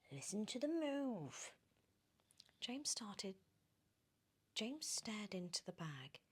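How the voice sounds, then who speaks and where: A British woman reading a storybook in ASMR style, drifting between soft whispers and expressive, animated speech. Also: on the low side, breathy edge. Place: on a sofa indoors.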